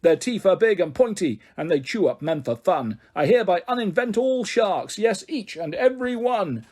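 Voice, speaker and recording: A man's voice: sits high, lively intonation, moderate pace, a little mellow, voice pushed up. A man voicing a pompous, touchy male professor who declares things in rhymes, in a storybook reading. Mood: indignant. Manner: speaks in bouncy rhymes, indignant. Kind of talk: reading a children's book aloud.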